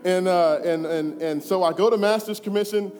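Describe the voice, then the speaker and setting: A man in his thirties giving a personal testimony, earnest and conversational, moving between hushed and emphatic. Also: high voice, moderate pace. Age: 30s. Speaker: church speaker giving testimony. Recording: reverberant hall with faint music.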